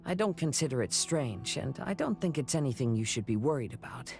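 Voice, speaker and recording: Young male wanderer samurai for a game: gentle, measured, contemplative, mid-pitched with fry. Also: lively intonation, soft background music. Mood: calm, reflective. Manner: soft-spoken, contemplative, measured. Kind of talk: scripted video game dialogue.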